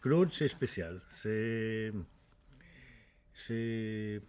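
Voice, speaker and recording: A man from France talks in a slightly low voice in a casual interview about his acting. He halts often, filling the gaps with drawn-out fillers and starting his sentences again. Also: darkish tone, a little hiss or hum. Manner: halting, many fillers and restarts. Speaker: actor.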